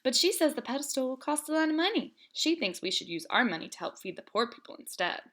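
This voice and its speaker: A woman's voice: high-pitched, very animated, fast, fairly bright tone, pitched above the performer's own voice, exaggerated voice. A woman voicing an earnest young immigrant girl in a read-aloud storybook, eager and insistent.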